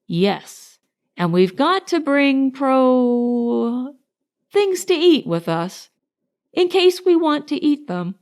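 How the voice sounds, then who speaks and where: A woman's voice: high-pitched, very animated, slow, darkish tone, voice pushed up, heavily acted. A woman voicing a cheerful, naive, bumbling toy bear in a storybook, eager and muddling his words. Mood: eager, muddled.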